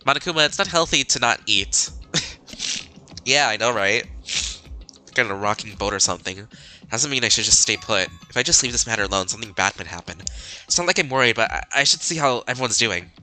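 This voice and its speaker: A man live-streaming a game with goofy, excitable commentary, reading the text aloud with a slightly stuffy nose. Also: mid-range voice, fairly quick, faint music behind.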